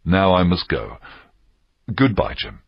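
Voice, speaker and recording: A man voicing a stern but kindly gentleman doctor in an audiobook, grave and measured. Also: low voice, big pitch swings, gravelly, breathy edge, no room sound, lo-fi sound, full character voice. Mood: reassuring. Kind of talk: audiobook character acting.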